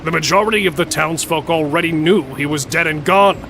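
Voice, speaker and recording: A man playing an irreverent smith and lore keeper explaining dark history in a fantasy audio drama. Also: on the high side, a little fast, slight background noise. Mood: grave, explaining.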